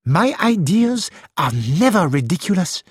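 A woman's voice: on the low side, big pitch swings, measured pace, slightly rough, no echo, studio quality, full character voice. A woman reading the part of a fussy elderly male detective with a French-flavoured manner, polite and precise. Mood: assured.

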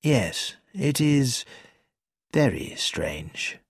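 A narrator voicing a reserved, patient older male lawyer who speaks quietly and carefully in a dry studio recording. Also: mid-range voice, animated, no echo. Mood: measured. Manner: measured, quiet.

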